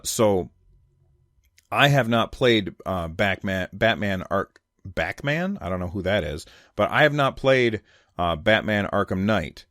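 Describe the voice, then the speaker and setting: A man with a fairly low voice speaks as a solo podcast host. His delivery is conversational and opinionated, leaning on key points for emphasis. The sound is dry and close, with very little room around the voice. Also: dry recording. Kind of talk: casual opinion commentary on video games.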